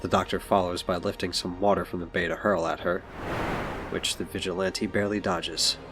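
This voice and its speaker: A man's voice: sits low, flat delivery, moderate pace. A man reading scripted story narration for an audio drama. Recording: music behind.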